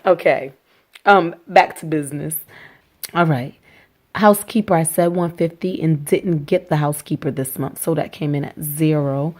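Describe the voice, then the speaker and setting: Hoarse chatty woman vlogger. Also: sits low, normal speed, a little mellow. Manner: chatty, upbeat, talks to viewers as y'all. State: hoarse from a cold. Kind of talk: casual budget vlog. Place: small rented apartment indoors.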